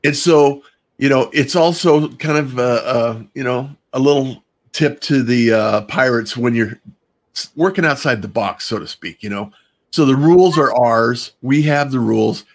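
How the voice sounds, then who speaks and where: Casual male host, halting delivery, medium pitch. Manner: halting, rambling, repeats words while framing ideas. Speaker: show host. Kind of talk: casual conversational interviewing.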